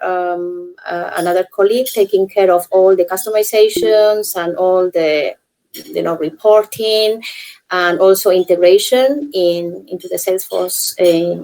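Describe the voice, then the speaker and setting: A woman's voice: fairly low pitch, steady tone, measured pace, warm tone, low-quality recording. A woman from Spain introducing herself casually on a video call. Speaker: meetup attendee.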